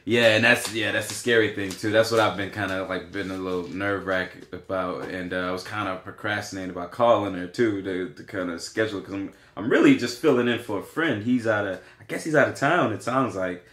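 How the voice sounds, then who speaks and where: A man's voice: fairly low pitch, brisk, few pauses, a bit bright. A man, chatting casually on a podcast, rambling with many fillers and restarts. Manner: rambling, hesitant, lots of fillers. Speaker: podcast co-host. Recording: roomy echo.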